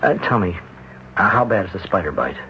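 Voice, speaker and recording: A calm psychiatrist in a 1970s radio drama, low and lively in his phrasing. He speaks in a measured, gently probing way, on a muffled old recording with faint hiss. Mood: concerned. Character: calm, thoughtful male hospital psychiatrist. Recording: muffled vintage radio broadcast.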